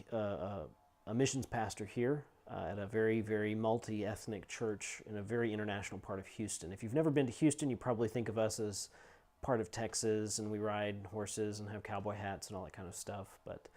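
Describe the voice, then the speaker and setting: A man's voice: fairly low pitch, a little mellow. A middle-aged man, a teacher and pastor, introducing himself to students in an informal, conversational, explanatory way. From United States and Scotland. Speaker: seminary teacher and missions pastor. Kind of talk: casual course introduction to students.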